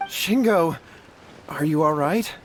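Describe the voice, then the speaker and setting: A man's voice: on the high side, animated, slow pace, slight background noise. A man playing a humble, worried brother in a video game, polite and hesitant.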